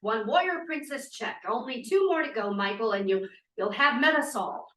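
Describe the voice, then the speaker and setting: A woman's voice: on the high side, expressive, moderate pace, a bit bright. Maeve, unflappable college woman read by a woman, echoey room. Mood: firm, sardonic. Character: Maeve, bossy, unflappable, sardonic female college student. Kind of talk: informal script table read. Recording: echoey room, distant mic.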